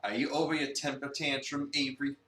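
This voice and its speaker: A man's voice: medium pitch, little pitch movement, brisk, sharp tone, natural voice. A man playing a bossy but caring male cafe leader in a visual novel reading, with a dry manner. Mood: scolding. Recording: roomy, distant from mic.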